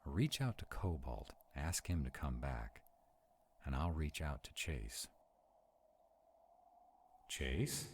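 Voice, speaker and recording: A man's voice: extremely low pitch, darkish tone, husky, heavily acted. A man playing a sarcastic, protective vampire in a conversational audio roleplay. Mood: worried. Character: sarcastic male vampire, protective of a young demon companion.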